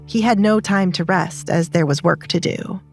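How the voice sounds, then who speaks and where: A woman's voice: lowish voice, moderate pace, vocal fry, faint music behind. A woman narrator reading a scripted dramatized story.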